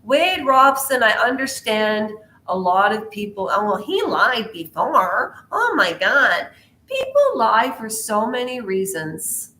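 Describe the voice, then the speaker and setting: A woman's voice: a little high, sing-song, slow, pushed and bright. A woman vlogging pop culture commentary in a rambling, casual way, jumping between tangents and firing questions at her viewers. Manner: chatty, jumps between tangents, quizzes the viewer. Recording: echoey room.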